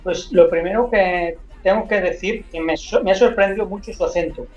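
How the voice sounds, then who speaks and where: A man's voice: high voice, normal speed, reverberant, soft background music. Man from Spain with a Mexican-tinged accent. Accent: Spanish with Mexican influence. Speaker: radio co-host. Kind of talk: radio discussion. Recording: muffled lo-fi recording.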